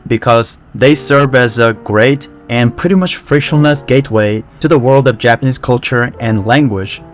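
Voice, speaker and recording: A young man from Korea with a Korean accent, talking to camera in a relaxed, conversational way about how he learned a language. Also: mid-range voice, normal speed, soft background music. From South Korea. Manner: relaxed, conversational, with fillers like "you know" and "like". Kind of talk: casual talk to camera.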